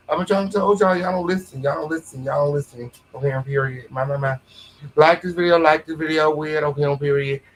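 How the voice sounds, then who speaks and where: A man's voice: on the high side, a bit bright, a little hiss or hum, rough recording. A man hosting a live stream, mocking the guest in crude terms and repeating his catchphrases. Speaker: host.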